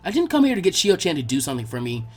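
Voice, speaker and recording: A man voicing a brash, excitable young ninja boy in a fan fiction reading, with blunt, whiny delivery. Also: fairly high pitch, rapid-fire, breathy edge, exaggerated voice. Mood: casual.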